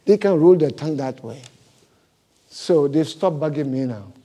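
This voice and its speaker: Animated pastor with a non-native English accent. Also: male voice, a little high, moderate pace, husky. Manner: animated, laughing storyteller, big swings in loudness. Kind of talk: sermon.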